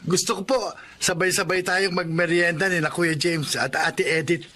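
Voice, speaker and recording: An actor playing an earnest youngest son in a radio drama, eager and energetic. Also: male voice, high-pitched, moderate pace. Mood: cheerful.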